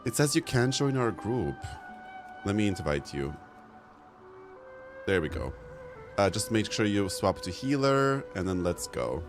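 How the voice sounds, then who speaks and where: A man's voice: lowish voice, big pitch swings, unhurried, pauses often. A man chatting casually and slangily as he streams a game. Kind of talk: casual livestream chat while gaming. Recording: loud background music. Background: music.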